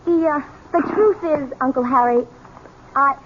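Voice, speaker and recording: A woman's voice: sits high, measured pace. An actress playing a slender young horsewoman, affectionate yet commanding, in a 1970s radio drama. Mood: coy, hesitant. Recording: muffled, faintly noisy old radio recording.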